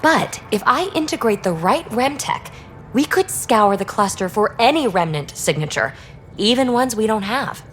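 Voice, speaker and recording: A woman playing an excitable, informal, geeky young scientist in a video game. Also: a little high, normal speed, soft background music, natural voice. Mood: eager. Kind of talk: video game dialogue acting.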